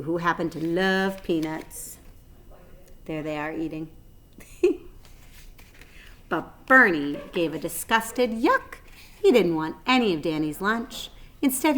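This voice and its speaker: A woman reading a children's storybook aloud as a teacher would for a class. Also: lowish voice.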